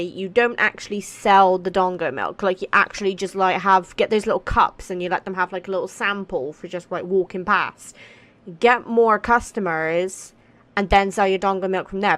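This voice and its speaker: A woman's voice: medium pitch, fairly bright tone. A woman giving casual, chatty, cheeky let's play commentary. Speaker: gaming streamer.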